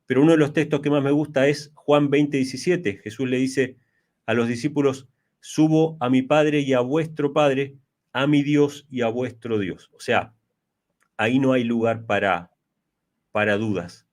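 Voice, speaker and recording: A man in his early forties speaks as an interview guest on religion, with a mid-pitched voice. He explains point by point, repeating key phrases for emphasis and posing rhetorical questions. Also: measured pace, darkish tone. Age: early 40s. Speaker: interviewee, Bible teacher.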